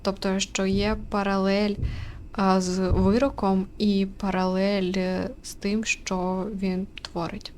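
A woman with a mid-pitched voice comments casually and thoughtfully on a game as it is played. The recording has clear background noise. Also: little pitch movement, slow pace, darkish tone.

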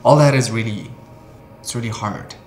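A man's voice: on the low side, lively intonation, normal speed, soft background music. A man in his thirties with a Pakistani accent, speaking hesitantly in short phrases in a personal interview. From Quetta, Pakistan. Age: 30s. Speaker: dancer, documentary subject. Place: living room, indoors.

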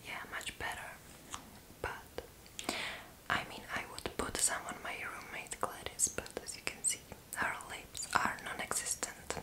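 A young adult woman doing an ASMR roleplay in a breathy, unvoiced whisper, with a sassy, playfully deadpan manner. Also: measured pace, poor audio. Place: small room indoors.

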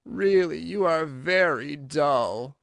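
A man voicing a melancholy old mock turtle in a storybook, sighing and self-pitying, with a slow, drawn-out, mournful delivery. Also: fairly high pitch, fairly bright tone, clean tone, dry recording. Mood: irritated.

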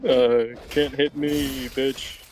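A man's voice: mid-range voice, level tone, extremely slow, a little mellow, a little hiss or hum, rough recording. A man voicing a taunting, clumsy opponent in a casual, improvised video game fan dub.

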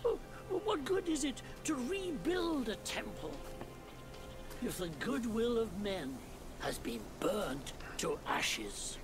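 Raspy old temple keeper in a game cutscene, high pitched, swoops all over the place, dramatic and sly. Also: male voice, very animated, slow pace, full character voice, low-quality recording. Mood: cryptic. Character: old bearded male temple keeper spirit, wry and cryptic. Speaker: game character. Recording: game audio with loud music.

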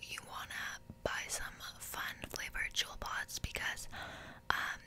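A young adult woman whispering a casual ASMR roleplay close to the microphone, explaining things hesitantly with pauses. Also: bright, forceful tone. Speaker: ASMR creator. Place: small bedroom, indoors. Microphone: close desk microphone.

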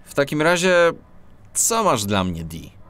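An eager cat detective from a children's audio drama. He talks in a slow, measured pace with very lively pitch, over faint music. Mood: friendly. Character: eager, quick-witted male cat police detective, narrator. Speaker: lead character and narrator.

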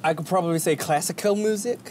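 A man's voice: high-pitched, quick pace, a little mellow, slight background noise. A man with a Korean-American accent, a band guest chatting casually in a hesitant, self-deprecating way. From Korea, partly New Zealand. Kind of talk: casual chat.

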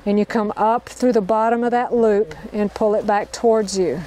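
A woman's voice: medium pitch, steady tone, normal speed, warm tone, a little hiss or hum, low-quality recording. A woman teaching a group to tie knots outdoors in a park, upbeat, repeating each step and checking in with the group. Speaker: knot-tying instructor. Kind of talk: hands-on outdoor demonstration.

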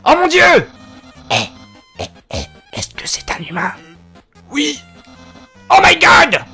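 A man voicing a lanky, boastful male skeleton who shouts and stammers with excitement while reading game dialogue aloud. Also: extremely high pitch, takes it slowly, soft background music, voice pushed up, heavily acted. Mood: overexcited.

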